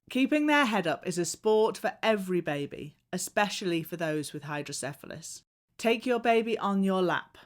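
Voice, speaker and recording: A woman with a slightly low voice narrates a training film. She reads from a script in a measured, instructional manner, phrasing each point clearly.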